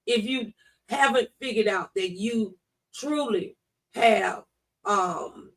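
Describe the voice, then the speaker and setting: A woman's voice: mid-range voice, slow, distant mic. A middle-aged woman talking conversationally about faith, quoting scripture and testifying with emphatic, preacher-like delivery. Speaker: talk show speaker on faith.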